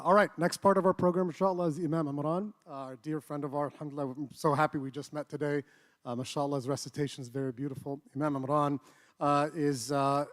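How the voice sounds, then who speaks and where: A man hosting a mosque program, introducing speakers in a relaxed, joking way with many fillers. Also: medium pitch. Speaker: event host. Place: mosque hall.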